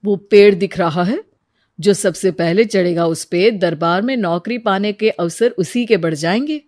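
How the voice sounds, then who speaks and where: A woman's voice: mid-range voice, unhurried, darkish tone, lightly acted. A woman voicing a stern but fair male royal minister, officious and instructing, in a storybook tale.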